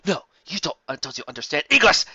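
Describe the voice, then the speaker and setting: A man reading a fan story aloud, voicing a brash, dramatic male starship captain who protests in overwrought shouts. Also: on the high side, lively intonation, fast talker, husky, no echo, muffled recording, full character voice. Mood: protesting.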